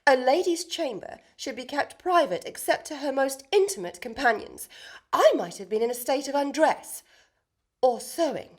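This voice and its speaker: An actress plays a haughty noble lady from a comic period radio play, with a high voice that swings widely in pitch. She is imperious and easily exasperated, speaking in grand, wordy sentences as she schemes. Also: animated, normal speed. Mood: indignant.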